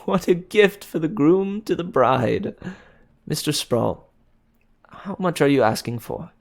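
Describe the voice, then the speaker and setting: A man's voice: mid-range voice, lively intonation, slow, voice pushed up. Man reading a hearty, good-humored young groom.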